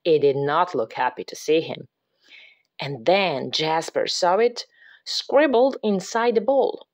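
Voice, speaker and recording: Slow, lively mom reading aloud. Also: female voice, sits low. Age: adult. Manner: dramatic, drops to whispers for creepy moments. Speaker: picture book reader. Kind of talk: expressive read-aloud storytelling.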